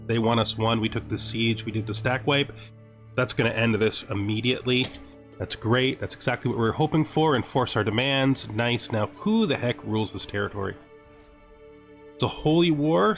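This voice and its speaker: A man's voice: medium pitch, a bit bright. A man hosting a gameplay series, speaking as a narrator and commentator. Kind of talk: gaming commentary with scripted lore narration.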